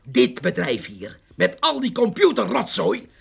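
An actor playing a blunt, stubborn village farmhand in a radio drama, husky and earthy in his way of talking. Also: high voice, wildly swooping pitch, unhurried, no room sound, full character voice. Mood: indignant, angry. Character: blunt, stubborn, nosy Dutch village farmhand, plain-spoken. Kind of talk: naturalistic radio drama acting.

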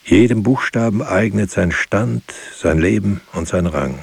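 Grieving, solitary writer for radio drama: low, husky, solemn and incantatory. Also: male voice, dry recording, full character voice. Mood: solemn, mournful. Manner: solemn, measured, incantatory repetition. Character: solitary, grieving writer musing on words and loss. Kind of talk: poetic literary radio drama monologue.

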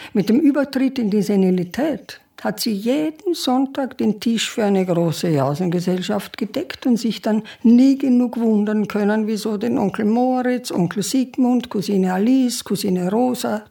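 An actress playing a genteel, fretful elderly woman with an Austrian accent and a husky, creaky voice in a radio play. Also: a little high, dark tone, far from the mic. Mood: bitter irony. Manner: formal, wordy, genteel. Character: elderly mother and aunt, dignified, fretful, forgetful.

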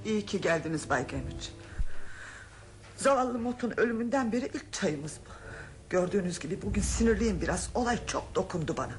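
A woman's voice: medium pitch, animated, brisk, a little mellow, close to an everyday voice. An actress playing a nervy, overwrought woman of a wealthy family in a melodramatic Turkish radio play. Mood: nervy, subdued. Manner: emphatic, dramatic. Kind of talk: melodramatic radio theater acting. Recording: prominent music behind the voice.

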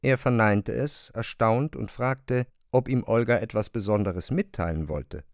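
A man reading literary narration for a radio drama, with measured, deliberate phrasing and pauses. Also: lowish voice, dry recording, studio quality.

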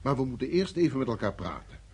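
An actor playing a harried senior security service chief in a Dutch radio drama, exasperated yet diplomatic, with formal, emphatic speech. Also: male voice, medium pitch, sing-song, fast, slightly rough, full character voice. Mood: apologetic, placating. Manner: emphatic, formal, swinging from barking to placating.

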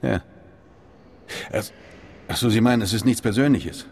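An actor playing a chatty, curious, sceptical police detective in a radio crime drama, conversational and probing. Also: male voice, lowish voice, normal speed, vocal fry, darkish tone, a little hiss or hum.

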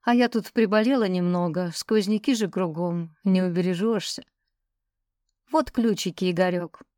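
A woman's voice: fairly low pitch, moderate pace, darkish tone, no echo, close to an everyday voice. A frail old lady from an audiobook, acted out by a woman narrator, quavering and a little fussy. She is ceremonious and affectionate, and the sound is dry. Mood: kindly, complaining.

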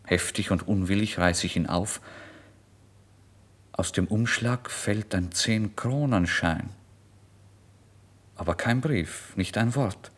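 Deep-voiced man narrating intensely. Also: slow pace, long pauses. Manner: intense, theatrical, swinging from hushed to loud. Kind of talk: dramatic first-person literary narration.

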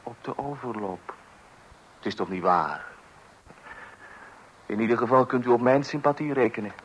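An actor playing an aghast, sympathetic listener in a Dutch radio play. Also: male voice, mid-range voice, moderate pace, dull audio, natural voice. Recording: noisy old radio recording with clicks.

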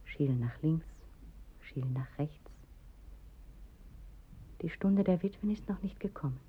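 A performer narrating as a somber storyteller in a poetic radio play, measured and at times hushed. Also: medium pitch, a little hiss or hum, close to an everyday voice. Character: somber storyteller of village widows at graves. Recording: dull 1980s radio recording. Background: music.

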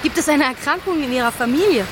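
Candid, high-voiced expatriate woman played by an actress. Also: quick pace, natural voice. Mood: exasperated, amused. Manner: colloquial, candid. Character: candid German expatriate woman, practical and slightly exasperated. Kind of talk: conversational documentary radio drama. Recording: clear street and traffic noise.

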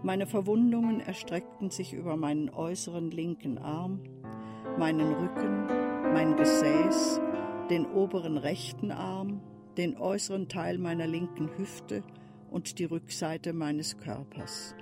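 A woman survivor giving testimony in a radio drama. She speaks slowly and soberly, in a restrained scripted delivery, with loud music behind her. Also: lowish voice.

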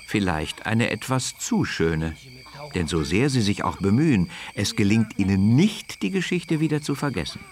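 A man narrating a children's radio story in an expressive, measured storytelling manner. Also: lowish voice. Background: loud music.

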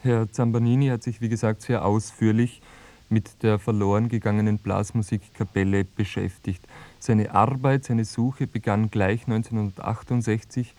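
Actor as a flat, mellow male radio journalist. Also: on the low side, moderate pace, lightly acted. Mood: sober, factual. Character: sober, matter-of-fact male radio journalist. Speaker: reporter-narrator. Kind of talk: mock-documentary report.

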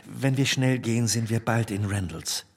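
An actor playing a kindly, flustered older gentleman in a period radio drama, reassuring in a halting, evasive way. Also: medium pitch, husky, big-room sound. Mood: nervous, reassuring.

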